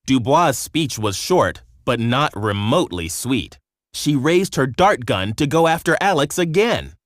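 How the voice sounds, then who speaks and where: A man narrating a scripted children's storybook in an expressive, measured storytelling manner. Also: fairly high pitch, no room sound, clean studio sound.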